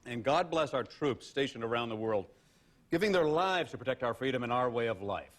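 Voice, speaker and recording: An actor playing a folksy, self-important, flag-waving American TV host, rambling and sanctimonious in a film drama. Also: male voice, mid-range voice, expressive, brisk, lightly acted. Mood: pious, patriotic.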